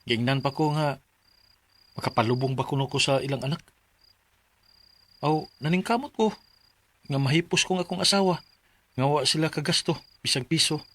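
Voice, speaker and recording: Humble Bisaya widowed father, bright voice. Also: fairly high pitch, moderate pace, pauses often. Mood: wounded pride. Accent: Filipino Bisaya. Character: widowed working father of five, humble, proud, self-reliant. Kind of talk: Bisaya radio drama acting.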